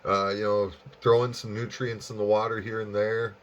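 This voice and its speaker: An American man chatting casually and easygoingly over ham radio, rambling along with drawn-out pauses. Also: fairly low pitch, level tone. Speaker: amateur radio operator and channel host. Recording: dull, lo-fi sound.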